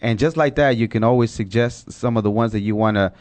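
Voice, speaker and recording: A man's voice: mid-range voice, fairly quick, darkish tone, no echo. A man whose first language is Spanish, hosting a casual podcast chat and rambling with lots of self-corrections. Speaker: podcast host.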